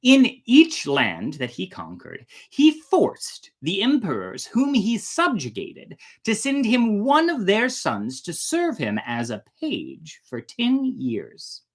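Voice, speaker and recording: A woman hosting a storytime, reading a fairy tale in a theatrical, deliberate way with casual asides. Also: deep voice, slightly rough. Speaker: storyteller and host.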